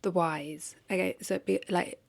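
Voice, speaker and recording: A woman with a lowish voice and plenty of vocal fry talks to the camera in a casual, confessional way. She is hesitant and reflective, circling back over her own phrases as she questions herself. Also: fairly even intonation, measured pace. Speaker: vlogger.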